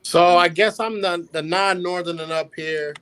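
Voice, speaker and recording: A man's voice: sits high, steady tone, normal speed, fairly bright tone. A man from North Carolina talking casually as a panelist. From North Carolina, United States. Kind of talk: casual self-introduction.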